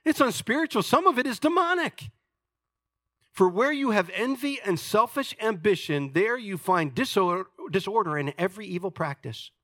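Pastor, man, 60s; high, bright voice, dry recording, emphatic and humorous sermon delivery. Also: lively intonation, moderate pace, no room sound. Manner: emphatic, jokey preaching with wide swings in loudness.

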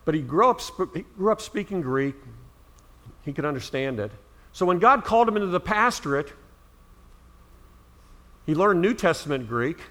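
Middle-aged pastor guy from ohio preaching, high bright voice, earnest, punches key words. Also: lively intonation, normal speed, long pauses. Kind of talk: sermon.

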